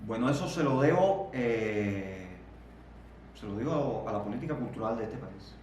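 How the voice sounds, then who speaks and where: Man, 30s, Cuban accent, halting repeated words, distant mic, very echoey room. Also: lowish voice, expressive, a little mellow, slight background noise. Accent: Cuban Spanish. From Cuba. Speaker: interview guest. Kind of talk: reflective interview conversation.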